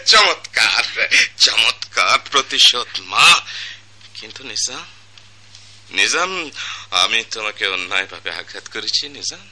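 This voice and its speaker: Piercing, forceful, vengeful father. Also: fairly low pitch, expressive, takes it slowly, full character voice. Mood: grim, remorseful. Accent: Bangladeshi. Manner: theatrical, repeating words, commanding. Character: vengeful, volatile father and music master. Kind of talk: Bengali radio drama acting. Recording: noisy old radio drama recording.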